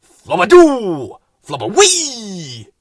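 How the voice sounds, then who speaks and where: Woman doing a high babbling little flowerpot man. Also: huge pitch swings, a little hiss or hum, full character voice. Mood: excited. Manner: exclaims in drawn-out babbling gibberish. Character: small cheerful male flowerpot man babbling gleeful nonsense words.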